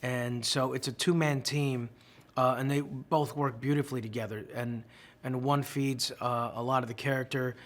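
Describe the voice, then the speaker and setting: A man with a mid-range voice explaining things thoughtfully in an interview. He speaks haltingly and repeats words as he works through his ideas. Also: medium pitch, steady tone. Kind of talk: casual junket interview.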